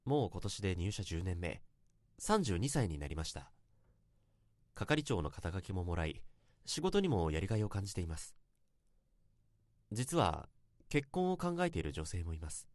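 Earnest salaryman, low expressive voice. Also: normal speed, long pauses, no room sound. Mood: reminiscing. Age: 30s. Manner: polite, reflective, literary storytelling. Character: earnest 32-year-old salaryman confessing a bittersweet youthful love. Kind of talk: Japanese radio drama monologue.

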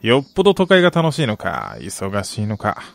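An actor playing a middle-aged, wistful gambling soccer fan in a radio drama, polite and measured with a rueful, quietly menacing edge. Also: male voice, lowish voice, wildly swooping pitch, gravelly, no echo, heavily acted. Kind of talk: Japanese radio drama acting.

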